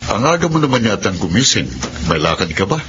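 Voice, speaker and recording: A man's voice: on the low side, sing-song, a little fast, a bit bright. A man acting a mild, indulgent middle-aged father in a drama, with a Filipino accent. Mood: kindly. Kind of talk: drama dialogue. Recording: muffled recording with clear noise.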